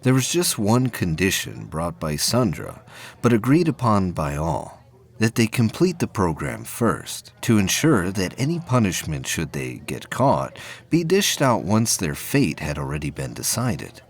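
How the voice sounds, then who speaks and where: A man reading scripted narration for a science fiction audio play, with a husky voice. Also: sits low, wildly swooping pitch, measured pace.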